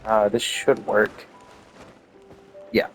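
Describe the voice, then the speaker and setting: A man's voice: fairly low pitch, huge pitch swings, very slow, soft background music. A man giving casual gameplay commentary, thinking aloud in an offhand way. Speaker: game streamer.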